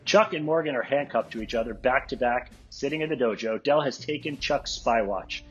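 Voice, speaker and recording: A man delivers scripted narration as the reader of stage directions. His voice is mid-pitched and husky, with a muffled, lo-fi recording. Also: brisk, soft background music.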